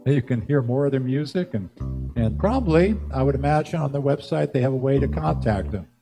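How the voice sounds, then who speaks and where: A man hosting a live music show, chatting casually and haltingly as he interviews guests, with chuckles between phrases. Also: mid-range voice, expressive, vocal fry, dark tone.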